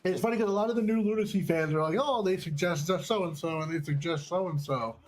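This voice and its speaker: A man's voice: high-pitched, fairly quick. A man hosting casual wrestling commentary in an enthusiastic, slangy and profane way. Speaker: segment host and match commentator.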